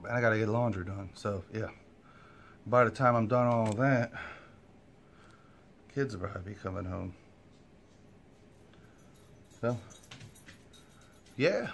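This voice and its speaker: A man's voice: on the low side, slow, vocal fry, pauses often, slight background noise. An American man in his thirties chatting casually to his own camera in a blunt, colloquial, grumbling way. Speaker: vlogger. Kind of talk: casual vlog chat. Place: home gym, kitchen, then moving car. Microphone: handheld phone or camera at arm's length.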